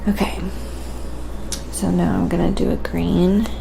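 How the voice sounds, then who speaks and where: A woman with a very creaky, fry-laden voice talks casually to livestream viewers as she works. She takes her time in an unhurried, halting manner, thinking aloud. Clear noise sits behind her voice. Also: fairly low pitch, level tone. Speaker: livestream host and painter. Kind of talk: casual livestream chat while painting, reading viewer comments.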